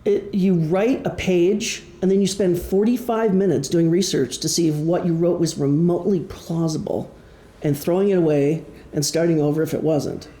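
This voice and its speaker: Guy doing an informal author talk, soft dark tone, kinda wry and self-deprecating, roomy echo. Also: fairly high pitch, fairly even intonation, moderate pace. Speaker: author giving a presentation. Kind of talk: informal prepared talk to an audience. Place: indoor room, live presentation. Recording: echoey room.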